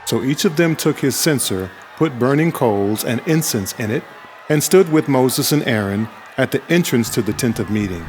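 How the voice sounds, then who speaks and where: A man reading scripture aloud as a dramatized narration, measured and solemn. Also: mid-range voice, animated, darkish tone, a little hiss or hum. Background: music.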